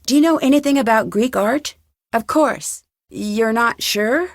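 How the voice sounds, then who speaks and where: A young American woman voicing a polite, inquisitive amateur detective in a scripted adventure game, composed and clearly spoken. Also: a little high, measured pace, no room sound, studio quality. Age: young adult.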